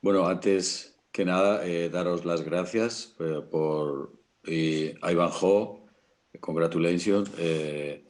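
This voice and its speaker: Deep-voiced hesitant man, Castilian accent. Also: fairly even intonation, takes it slowly, a little mellow. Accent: Castilian Spanish. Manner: hesitant, searching for words. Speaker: panel guest. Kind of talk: casual panel talk.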